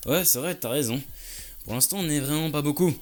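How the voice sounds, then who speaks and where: A man's voice: a little high, fairly quick, faint music behind, lightly acted. A man playing a passionate, touchy lynx who owns a photo lab, talking in an informal, slangy way in an audio story. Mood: conceding, insistent. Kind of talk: French audio story dialogue.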